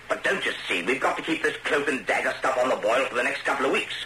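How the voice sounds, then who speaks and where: An actor playing a scheming, bossy intelligence Major in a radio drama, with a clipped, slangy British delivery and dry sarcasm. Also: male voice, mid-range voice, lively intonation, fairly quick, bright, forceful tone. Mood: dry, irritated. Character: brusque, scheming male intelligence Major, bossy and dryly sardonic. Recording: noisy, hissy radio drama recording.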